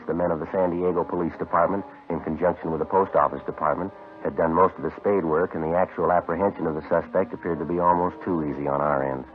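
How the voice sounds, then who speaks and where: An actor playing a male police officer narrating his case in an old radio drama, deadpan, clipped and formal. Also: low-pitched, close to an everyday voice. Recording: muffled vintage radio with music behind.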